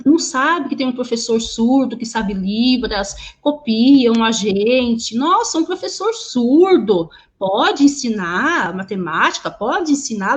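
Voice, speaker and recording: A woman interpreting sign language into speech over a video call, halting, with many restarts and repeated words. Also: on the high side. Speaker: sign language interpreter voicing a deaf teacher.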